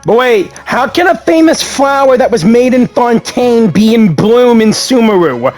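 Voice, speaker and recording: High-voiced guy doing gameplay commentary. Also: expressive, a little slow, a little mellow. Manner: informal, interjecting, slangy. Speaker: gamer reading and reacting to a story game. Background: game music.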